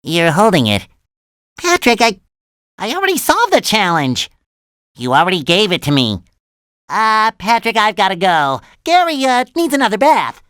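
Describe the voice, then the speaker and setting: A man voicing an excitable, naive, cheerful young cartoon sea sponge in a video game, with a squeaky nasal voice and eager, childlike earnestness. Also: normal speed, clear, resonant tone, dry recording, studio quality. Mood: earnest. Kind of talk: animated video game voice lines.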